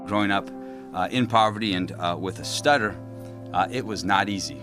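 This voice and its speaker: A man in public office who talks with a stutter, pausing often on fillers before hard words. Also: on the low side. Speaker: state politician. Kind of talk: speaking at a public event. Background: background music.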